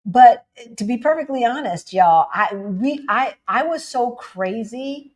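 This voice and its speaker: A woman's voice: a little high, unhurried. A woman from the United States co-hosting a livestream, talking casually and with energy, laughing often and calling her viewers "y'all". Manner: animated, laughing, addresses audience as y'all. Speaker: livestream co-host.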